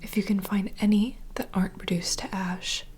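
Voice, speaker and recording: A woman playing a knowing, secretive vampire bartender in an ASMR roleplay, speaking in a soft breathy whisper as an unhurried, gentle mentor. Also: medium pitch, flat delivery, natural voice. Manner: unhurried, confiding storyteller. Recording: close whisper over clear ambient noise.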